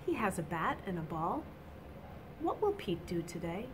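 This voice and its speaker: A middle-aged woman reading a picture book aloud to children, expressive and deliberate, with emphatic exclamations, in a small room. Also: medium pitch, noisy background. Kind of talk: animated read-aloud storytelling for children. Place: small indoor office or classroom.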